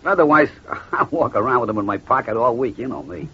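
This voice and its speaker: An actor playing a gullible husband in a 1940s radio drama, flustered and bewildered. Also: male voice, mid-range voice, expressive, fast talker, creaky, fairly bright tone, slightly rough, full character voice. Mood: easygoing. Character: gullible middle-aged husband Walter. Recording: dull old radio transcription.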